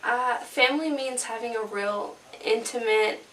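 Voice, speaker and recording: A woman's voice: a little high, measured pace, a bit bright, slight background noise. A teenage female theater student giving casual answers in an interview. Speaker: high school theater student. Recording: echoey room, distant mic.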